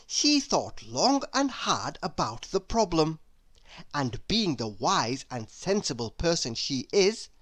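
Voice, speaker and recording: A woman narrating a children's story in a measured, expressive read-aloud style. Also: sits low. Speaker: storybook reader.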